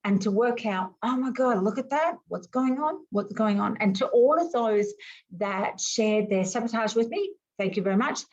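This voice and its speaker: A woman whose first languages were Greek and Arabic, teaching an online class over a video call in a lively, emphatic way full of rhetorical questions. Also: on the high side, normal speed, highs cut off.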